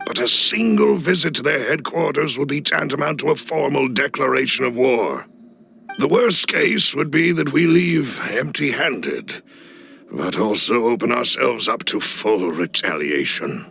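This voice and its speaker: A man playing a loyal, cautious butler in a video game cutscene, speaking in a formal, measured, deferential way with a gravelly voice. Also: mid-range voice, animated, pushed and bright, slight background noise, exaggerated voice. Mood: grave, cautioning. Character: loyal, cautious male butler of a crime boss family. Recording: clean studio voice over music. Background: background music.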